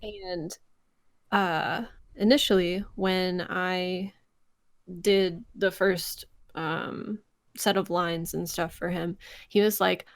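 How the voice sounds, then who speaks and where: An American woman with some vocal fry, talking casually as an interview guest and telling stories by acting out conversations. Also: fairly low pitch, takes it slowly, no room sound, clean studio sound. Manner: animated storyteller, re-enacts conversations. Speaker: podcast guest, voice actress. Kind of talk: casual interview chat, anecdotes.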